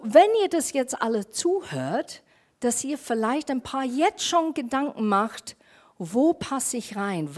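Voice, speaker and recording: Slow-speaking English-accented woman pastor. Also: mid-range voice, a little mellow. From half German, half English. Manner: informal, chatty preaching with fillers and grammar slips. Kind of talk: sermon.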